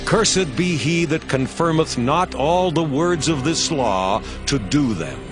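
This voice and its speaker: An actor playing a Levite priest who sternly proclaims curses before a crowd, in a dramatized scripture reading. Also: male voice, fairly high pitch, lively intonation, slow pace. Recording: music bed beneath voice.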